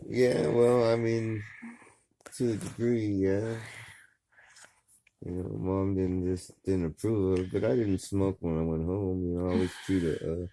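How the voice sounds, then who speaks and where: A man in his sixties with a rough, worn voice, talking slowly and easily in a casual chat at home. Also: low-pitched, slight background noise, rough recording. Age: 60s. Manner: slow, relaxed storytelling with drawn-out fillers.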